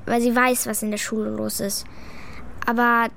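A woman's voice: on the high side, flat delivery, normal speed, gravelly, a bit bright, a little hiss or hum. A female performer playing a blunt, cheeky schoolgirl in a children's radio play, speaking in a colloquial, matter-of-fact way. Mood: reluctant. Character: blunt, cheeky schoolgirl telling her story reluctantly.

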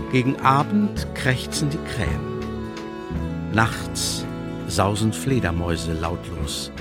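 A man narrating a scripted children's story in short, deliberate phrases. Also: on the low side. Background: prominent guitar music.

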